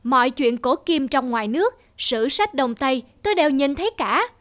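A woman voicing a pompous, greedy rat in an animated fable, boastful and condescending. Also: high voice, moderate pace. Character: pompous, sly, greedy library-dwelling rat. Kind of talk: animated fable character acting.

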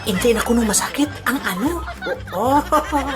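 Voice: medium pitch, animated, moderate pace, loud music behind the voice, close to an everyday voice. A performer playing a nosy, easily shocked villager with a Filipino accent in a comic audio drama, muttering hesitant asides. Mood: suspicious, flustered. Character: Albedo, nosy, easily shocked village man eavesdropping on friend.

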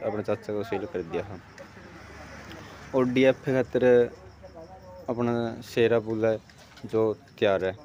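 A man's voice: lowish voice, darkish tone, slight background noise. A man with a Haryanvi accent presenting a dairy farm video in an informal vlog style.

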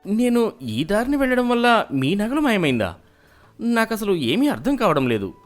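A man's voice: sits high, very animated, moderate pace, voice pushed up. A man voicing an innocent, protesting young villager in a cartoon moral story. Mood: bewildered, protesting. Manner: pleading, protesting. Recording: music behind the voice.

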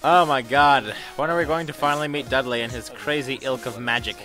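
A man's voice: medium pitch, a little fast. Crude, rambling young man over dubstep. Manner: crude, rambling, self-mocking jokes with sudden loud outbursts. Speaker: gaming commentator. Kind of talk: casual let's-play commentary. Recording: game music loud underneath. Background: electronic dubstep game music.

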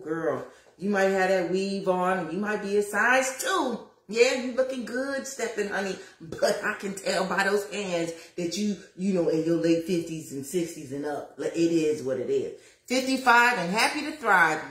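A woman in her fifties chatting to viewers on a livestream while cooking in her home kitchen, lively and preachy, calling out to them as y'all. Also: medium pitch. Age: 50s. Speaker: lifestyle vlogger. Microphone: phone camera at arm's length. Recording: roomy kitchen echo.

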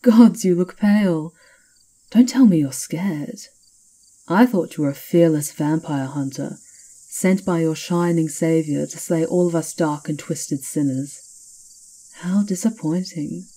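A woman's voice: low-pitched, unhurried, warm tone, husky, exaggerated voice, rough recording. A woman playing a sly, knowing castle witch in an ASMR roleplay, with a teasing, confiding and theatrical manner.

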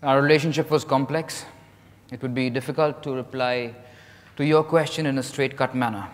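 A middle-aged man on stage playing a dignified novelist under interrogation, speaking in a measured, formal, defensive way. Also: mid-range voice, steady tone, natural voice. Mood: reserved. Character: reserved, dignified male novelist being interrogated. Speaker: stage actor. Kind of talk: dramatized play reading on stage. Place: large hotel ballroom stage. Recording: echoey hall from far off.

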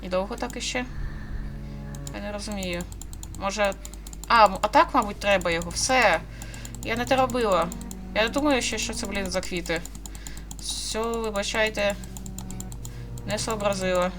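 A woman's voice: mid-range voice, normal speed, long pauses, background noise. A woman giving casual commentary as she plays a game, muttering to herself in a puzzled way and reading bits of dialogue aloud. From Ukraine. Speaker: gaming video host. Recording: music behind the voice.

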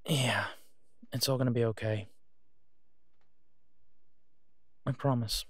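A tired, protective werewolf played by a man talks in an intimate audio drama. His voice sits at a middle pitch and comes through dry and close. He speaks haltingly and reassuringly, devoted but on edge. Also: steady tone, dry recording, close to an everyday voice. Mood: reassuring, casual. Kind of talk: intimate roleplay audio drama, one side of a phone call.

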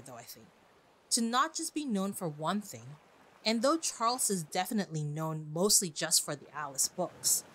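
A woman with a slightly low voice hosts a book podcast on her own. Her delivery is chatty and expressive, with a lively rise and fall in pitch, and she addresses her listeners directly. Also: husky, rough recording. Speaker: podcast host. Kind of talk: podcast monologue on classic books.

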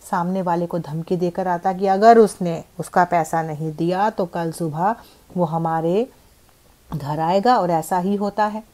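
A woman's voice: on the low side, darkish tone. A woman narrating a scripted horror story as its storyteller.

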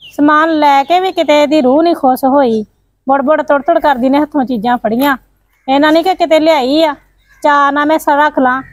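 A woman playing a quick-tempered, scolding sister-in-law in a family drama. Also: sits high, fairly even intonation, no room sound, natural voice. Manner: scolding, blunt and bossy. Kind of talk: village family drama acting.

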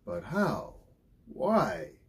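A man's voice: on the low side, wildly swooping pitch, drawn-out words, slightly rough, exaggerated voice. A man voicing a determined, confident expedition leader in a storybook, emphatic and insistent. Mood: amazed. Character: determined, confident male polar explorer leading an expedition.

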